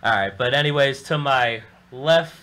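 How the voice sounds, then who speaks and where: A man's voice: mid-range voice, normal speed, pushed and bright. A man hosting a casual radio talk show, rambling loosely and swearing often. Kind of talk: casual chat.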